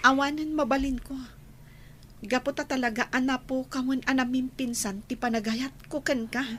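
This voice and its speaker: A woman's voice: sits high, steady tone, moderate pace, a little hiss or hum, lightly acted. A woman acting a firm, emotional young student who turns down a suitor in an Ilocano radio drama. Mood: resigned, firm.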